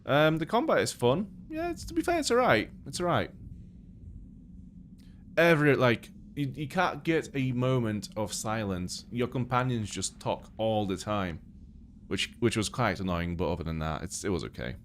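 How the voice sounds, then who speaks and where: A man's voice: medium pitch, normal speed, a little hiss or hum. A man from Poland with a British accent, born Polish-speaking, chatting casually as he plays a game on a live stream and thinks aloud. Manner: relaxed, thinking aloud while playing.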